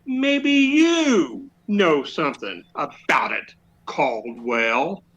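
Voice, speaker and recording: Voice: medium pitch, big pitch swings, very slow, fairly bright tone, heavily acted. An actor playing a bossy, exasperated police sergeant in a radio comedy, blustering, sarcastic and emphatic. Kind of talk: old-time radio comedy acting.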